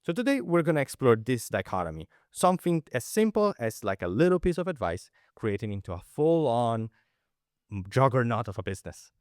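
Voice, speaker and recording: Animated Italian-born male podcast host. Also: mid-range voice, fairly quick, no room sound, studio quality. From Italy. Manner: enthusiastic, rambling with many fillers and restarts. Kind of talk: casual interview chat.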